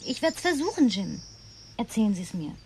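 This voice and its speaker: High-voiced, coaxing woman. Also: big pitch swings, moderate pace, a little mellow, a little hiss or hum. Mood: insistent. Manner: coaxing, questioning, precise. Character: Linda, patient, inquisitive, well-spoken woman coaxing her companion. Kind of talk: German radio play dialogue.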